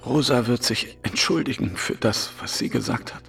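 An actor playing a guilt-ridden lover in a German radio drama, giving a sober, introspective inner monologue. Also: male voice, medium pitch, moderate pace, vocal fry, a bit bright, in a whisper. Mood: brooding. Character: guilt-ridden lover carrying a terrible secret. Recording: music under the voice.

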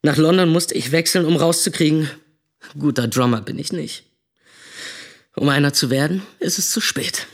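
An actor playing a disillusioned, defiant young man confiding in a clipped, colloquial radio drama monologue, with a husky, breathy voice. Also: a little high, normal speed, long pauses. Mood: sullen, resigned. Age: young adult.

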